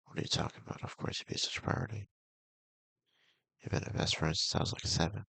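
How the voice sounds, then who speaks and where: A man's voice: low-pitched, fairly even intonation, fast, gravelly, pushed and bright, breathy edge, no echo, exaggerated voice. A man voicing an earnest, bewildered young male friend in a visual novel, plain and conversational, with music behind. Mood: earnest, puzzled.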